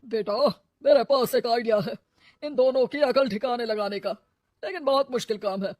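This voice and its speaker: A teasing older father, voiced by a woman in a cartoon story. He sounds easygoing and sly, high-voiced, in a dry recording. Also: moderate pace, darkish tone, no echo, close to an everyday voice. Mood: scheming.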